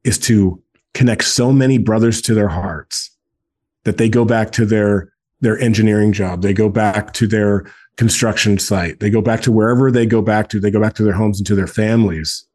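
An earnest man in his forties, his voice husky and a little low. He talks in a loose conversational flow, circling back and repeating phrases to drive a point home. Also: fairly even intonation, moderate pace, a little mellow. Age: 40s. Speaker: guest, men's group co-founder. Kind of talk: casual podcast conversation.

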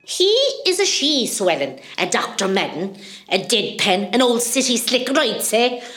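A woman's voice: medium pitch, big pitch swings, moderate pace, fairly bright tone, roomy sound. A woman playing a cheeky, bawdy older mother in hospital in an amateur radio comedy, with a West Cork Irish accent and a theatrical, gossipy manner. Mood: gossipy, mischievous.

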